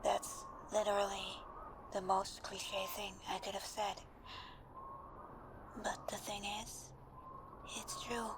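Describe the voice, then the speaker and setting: A woman playing a timid, anxious best friend in an intimate ASMR roleplay, speaking in a hesitant, breathy half-whisper as if leaving a voice message. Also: medium pitch, level tone, slow, long pauses, noisy background. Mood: apologetic, tender, anxious.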